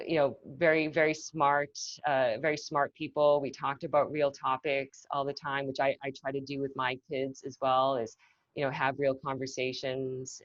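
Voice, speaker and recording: Woman guest from Massachusetts, low voice, reflective and casual, slightly dull dry line. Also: no echo. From Massachusetts, north of Boston. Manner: thoughtful, peppered with "you know" and restarts. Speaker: guest, marketing executive. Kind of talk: casual conversational interview.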